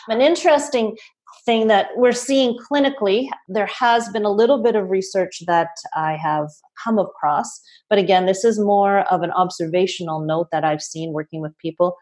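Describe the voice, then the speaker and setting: A woman from Toronto, a health practitioner, gives measured explanations and is careful to call her ideas observations. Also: medium pitch, darkish tone. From Toronto, Canada. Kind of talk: explanatory interview answers.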